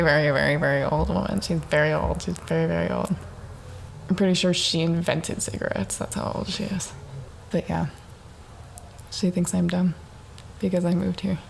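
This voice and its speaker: A woman's voice: lowish voice, vocal fry, slight background noise. Rambling young woman talking to herself. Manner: rambling, self-mocking, jumps between thoughts. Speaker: podcast host. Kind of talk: rambling solo self-reflection monologue. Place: apartment at home in the dark.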